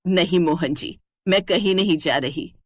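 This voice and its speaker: A woman voicing an older village mother-in-law, patient but proudly firm, in an animated family story. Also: fairly low pitch, measured pace, no room sound, studio quality, voice pushed down. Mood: indignant, firm.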